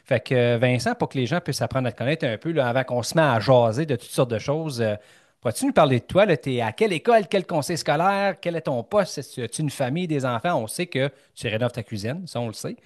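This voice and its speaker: Voix d'homme au timbre moyen, ontarien, animateur jovial et causant. Enregistrement sec, sans écho de pièce, qui donne une présence proche et directe. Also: male voice, mid-range voice, lively intonation, fast, no room sound, clean studio sound. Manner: chatty, upbeat, many fillers and restarts. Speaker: podcast host. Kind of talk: casual interview chat.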